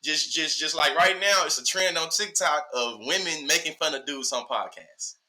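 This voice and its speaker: A man's voice: fairly high pitch, moderate pace. A man in his early thirties from Texas with a Southern accent, talking casually and animatedly in slangy, rhetorical bursts as a podcast guest. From Texas, USA. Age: early 30s. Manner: animated, rhetorical, slangy, often checks listener follows. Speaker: podcast guest, social media educator. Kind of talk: casual, opinionated conversation.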